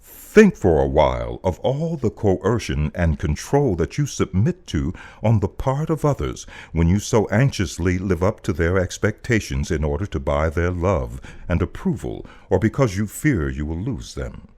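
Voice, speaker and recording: Male voice-over narrator, deep register, highly varied intonation; solemn, emphatic, poetic spiritual reading. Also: very animated, moderate pace, hardly any pauses, darkish tone.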